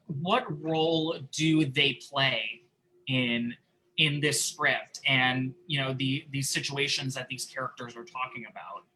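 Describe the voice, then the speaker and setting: A man hosting an informal post-show discussion, talking in halting, repeated phrases. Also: medium pitch, bright, forceful tone, a little hiss or hum, poor audio. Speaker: host and moderator.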